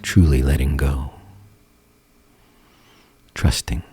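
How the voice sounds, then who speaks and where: A man guiding a meditation in a soothing, gentle manner, leaving long pauses, with soft, breathy phrase endings. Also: very deep voice, noisy background. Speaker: meditation teacher and guide. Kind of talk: guided meditation. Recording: calm music bed behind voice. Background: meditation music.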